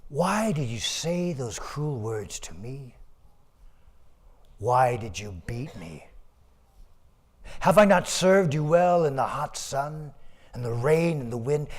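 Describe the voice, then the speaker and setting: A man voicing a gentle, loyal male ox who speaks softly and quietly, pleading with his master. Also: fairly high pitch, creaky, husky, full character voice.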